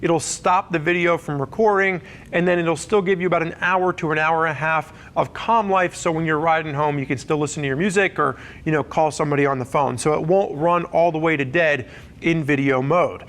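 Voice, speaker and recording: A man's voice: on the high side, steady tone, a little fast, fairly bright tone. A man presenting a gear review in a conversational, explanatory way, talking through features as a semi-scripted walkthrough.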